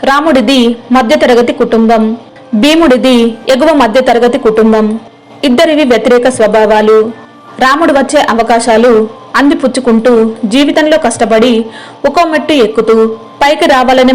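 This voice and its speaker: High-pitched woman storyteller. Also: moderate pace, long pauses, rough recording. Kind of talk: scripted moral-story narration.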